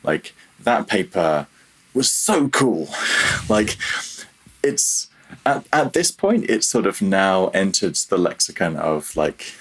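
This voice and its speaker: A man's voice: deep voice, animated, takes it slowly, a bit bright, slightly rough. A man chatting informally as a technical interview guest, often stopping to rephrase himself as he goes. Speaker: guest, freelance audio software developer. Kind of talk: casual technical interview chat.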